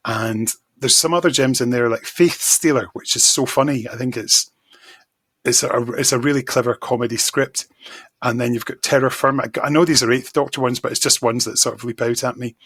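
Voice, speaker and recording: Enthusiastic man with a bright, husky voice. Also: medium pitch, fairly even intonation, a little fast, no room sound. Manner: enthusiastic, effusive. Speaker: podcast guest, magazine editor. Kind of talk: casual chat, fan discussion.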